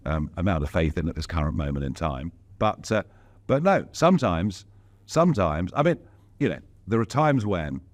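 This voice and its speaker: A man's voice: fairly low pitch, a little mellow. A man being interviewed as a politician, speaking in an emphatic, outspoken way with wry asides. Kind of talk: casual interview talk.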